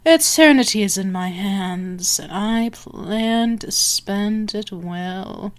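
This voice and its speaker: A woman's voice: medium pitch, slow, clean tone, lightly acted. A woman playing a dominant female vampire for an ASMR roleplay, teasing, condescending and menacing, moving between murmurs and whispers. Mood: coldly possessive.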